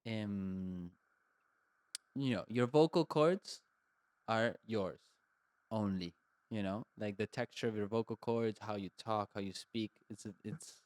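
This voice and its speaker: A man with a fairly low voice, recorded dry and close, muses aloud as a podcast co-host. He is from Argentina and talks in a loose, thoughtful ramble. He leans on "like" and "you know" as he works through his ideas. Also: unhurried, a little mellow, no echo. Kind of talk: casual conversation.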